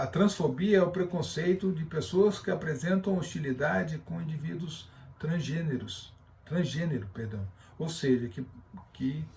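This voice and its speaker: A man with a Brazilian accent giving an explanatory class, speaking haltingly as he searches for words and reads loosely from notes. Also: fairly high pitch, creaky. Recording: distant from the microphone.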